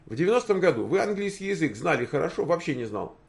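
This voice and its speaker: Male, sixties, slightly high voice with heavy fry; off-the-cuff opinionated streamer, rhetorical questions, stammered restarts. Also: sing-song, fast. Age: 60s. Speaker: streamer answering viewer comments. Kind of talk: informal monologue commentary.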